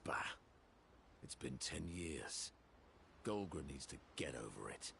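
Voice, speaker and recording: An actor playing a gruff, proud creature warrior in a video game cutscene, speaking in blunt, boastful, terse lines with a very deep gravelly voice. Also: male voice, sing-song, slow, slight background noise, exaggerated voice. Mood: grumpy. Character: gruff, proud, grudge-bearing male creature warrior, old battle companion. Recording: loud music behind the voice.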